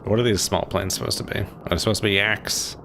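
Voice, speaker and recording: A man's voice: fairly low pitch, steady tone, fast talker, creaky, noisy background. A young man from Maryland casually chatting with viewers while playing a game, swearing lightly and laughing often. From Maryland, USA. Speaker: streamer.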